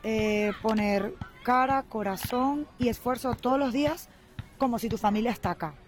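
A woman's voice: fairly high pitch, over music. A young Venezuelan woman with a Venezuelan Spanish accent, answering interview questions thoughtfully while standing in a city park with a handheld mic. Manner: thoughtful, measured, earnest. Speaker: guest. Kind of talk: street interview, relaxed answers.

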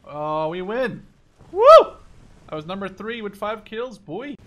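A man's voice: high voice, lively intonation, unhurried, a bit bright, highs cut off. A man casually commenting on a game as he plays with a friend, joking and swearing. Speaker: gamer playing with a friend. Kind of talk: casual gaming chat, commentary. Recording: clear background game noise.

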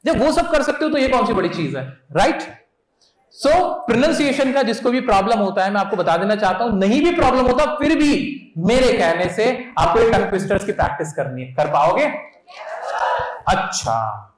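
Lively young male teacher in an echoey hall. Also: sits high, moderate pace. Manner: energetic, coaxing, asks the crowd questions. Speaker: English speaking teacher. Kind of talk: live seminar lecture to students. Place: large auditorium stage. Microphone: handheld mic.

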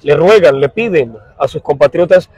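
A middle-aged man reporting live for radio from a crowded outdoor dock, speaking in a formal, measured way into a handheld mic. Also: a little high, animated, a little mellow, breathy edge, dry recording. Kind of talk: live field report and street interviews. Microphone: handheld mic held close.

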